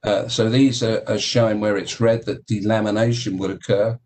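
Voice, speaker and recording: A man giving a technical keynote talk about engineering, speaking in a halting way with many fillers and repeated words. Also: lowish voice, fairly even intonation, darkish tone. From United Kingdom. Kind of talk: technical conference presentation.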